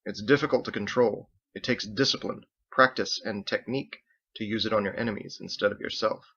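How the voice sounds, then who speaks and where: A man's voice: fairly low pitch, a little fast, a bit bright, slightly rough, highs cut off. Man acting a hearty, lecturing sword master. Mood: instructive. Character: confident, instructive older male sword master.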